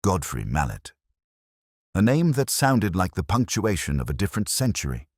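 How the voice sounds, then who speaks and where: A man narrating a first-person detective story in a measured, literary, brooding manner with a husky voice. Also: sits low, very animated, dry recording, clean studio sound.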